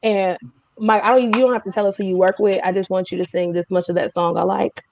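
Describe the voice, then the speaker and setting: A woman's voice: mid-range voice, steady tone, quick pace, darkish tone, slight background noise. Female host for a casual music livestream. Playful, teasing, conversational delivery. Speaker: host of a music speaker series. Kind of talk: casual live-stream chat. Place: live video stream, self-shot on a phone.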